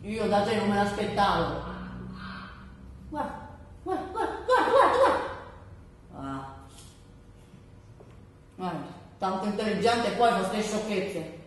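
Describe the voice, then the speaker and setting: A woman's voice: mid-range voice, big pitch swings, unhurried, pauses often. Actress as lonely scolding mother, theatrical, lamenting, repetitive, distant, heavy room echo. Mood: reproachful. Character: lonely, reproachful mother, praying and scolding her grown son. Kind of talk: theatrical stage acting. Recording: very echoey, far from the mic.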